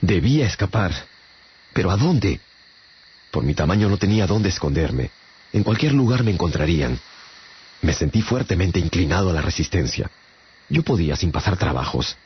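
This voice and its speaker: A man's voice: very deep voice, a little hiss or hum. A man giving theatrical, scripted first-person narration in a literary radio novel. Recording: muffled radio drama with music behind.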